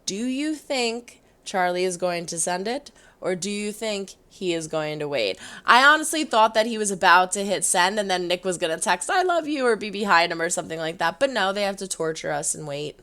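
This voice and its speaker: Hoarse, chatty woman vlogger. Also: medium pitch, moderate pace, hardly any pauses. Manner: chatty, animated, rambling with restarts. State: hoarse, losing her voice. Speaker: reaction video host. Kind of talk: casual reaction vlog to camera.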